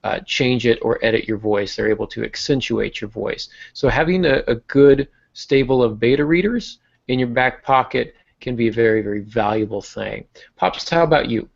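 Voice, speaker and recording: A man's voice: medium pitch, normal speed, creaky, highs cut off. A man hosting a casual podcast as an author, explaining things in an informal, self-deprecating way.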